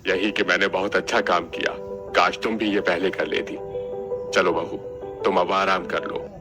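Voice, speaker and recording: A man's voice: low-pitched, level tone, normal speed, music in the background. A man voicing a decisive, sardonic older father-in-law who heads the household in a cartoon. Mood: approving.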